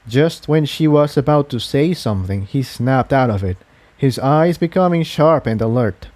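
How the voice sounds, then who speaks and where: A man narrates adventure fiction from a script. His voice is mellow and relaxed at a middling pitch, with a measured storyteller's delivery. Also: dry recording. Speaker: narrator, audiobook reader.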